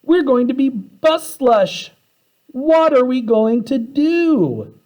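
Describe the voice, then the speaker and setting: A man voicing curious, excitable young school children in a storybook, wide-eyed and questioning. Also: very high-pitched, slow pace, voice pushed up, heavily acted. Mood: alarmed. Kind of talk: children's storybook read-aloud.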